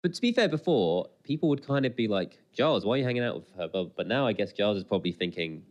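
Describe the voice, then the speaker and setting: A man with a slightly low voice co-hosts a casual commentary podcast. His delivery is excitable and exclamatory, with pitch that moves around a lot. He tends to repeat his phrases as he reacts. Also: quick pace, lo-fi sound.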